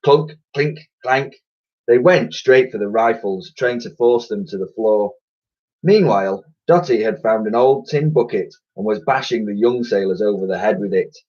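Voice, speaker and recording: A male primary school teacher reading a children's book aloud with animated storytelling. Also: on the low side, normal speed. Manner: lively, animated storytelling with sound effects. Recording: lo-fi recording.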